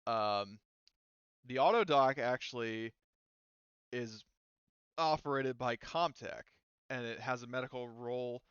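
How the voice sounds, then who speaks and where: A man's voice: mid-range voice, slow pace, long pauses, a bit bright, clear, resonant tone, no echo, highs cut off. A man running a tabletop roleplaying game as game master, narrating in a casual, conversational way.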